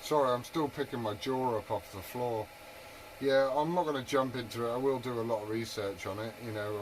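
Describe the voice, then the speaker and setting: A man with a medium-pitched voice chats over a CB radio link. The signal is clearly noisy around him. He talks informally, in a chatty way, and is keen to ask questions. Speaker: CB radio operator. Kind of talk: casual radio chat. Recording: noisy hissy CB radio transmission.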